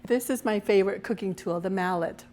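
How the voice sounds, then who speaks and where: A woman hosting her own cooking show, her voice a little raspy as she recovers from a cold, chatting through each step as she cooks. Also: medium pitch, brisk, a little mellow, a little hiss or hum. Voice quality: slightly raspy. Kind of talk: casual cooking demonstration.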